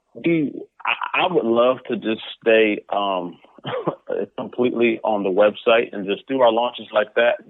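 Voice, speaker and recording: Male guest, lowish pitch, casual talker, thin phone-quality audio with no room sound. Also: no echo. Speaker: interview guest, comic book creator. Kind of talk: casual conversational interview answers. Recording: narrow, tinny phone-like line.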